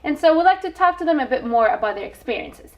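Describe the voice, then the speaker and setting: Woman host, bright higher voice, keeps guests talking with warm little affirmations. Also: sing-song, quick pace, lo-fi sound. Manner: encouraging, with frequent short affirmations. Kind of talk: community TV interview.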